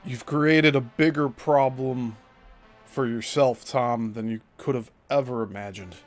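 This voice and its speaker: A man's voice: mid-range voice, a little slow, soft background music. A man hosting a reaction commentary over clips, scornful and profane, mocking the person in them.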